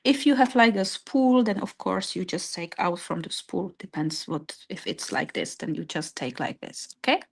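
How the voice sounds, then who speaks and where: A woman's voice: lowish voice, moderate pace, creaky, few pauses, husky. A woman with a Czech accent leading an informal live craft tutorial step by step, hesitantly searching for her English words. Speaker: craft workshop instructor.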